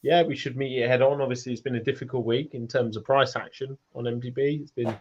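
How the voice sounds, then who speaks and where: A man's voice: mid-range voice, steady tone, fast talker, husky, lo-fi sound. A man hosting a casual online Q&A, rambling and self-deprecating, often repeating his phrases. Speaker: host of an online community Q&A. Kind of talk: casual chat, reading out audience questions.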